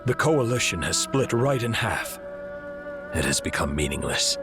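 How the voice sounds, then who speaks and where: A man's voice: lowish voice, very animated, moderate pace, music in the background. A man playing a bitter, disillusioned warlord in a dramatic video game cutscene.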